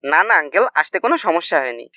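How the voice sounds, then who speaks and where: A man voicing an anxious young bridegroom-to-be with a hurried, nagging manner in a comedy cartoon. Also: a little high, sing-song, pushed and bright, dry recording, studio quality, heavily acted. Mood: polite. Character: anxious young bridegroom-to-be, scolding his foolish friend.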